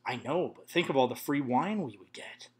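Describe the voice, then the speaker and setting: A man's voice: medium pitch, animated, moderate pace, a little mellow, natural voice. A man voicing a playful, bossy young princess who teases her friend in a storybook reading. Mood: teasing. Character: chatty teasing young princess woman.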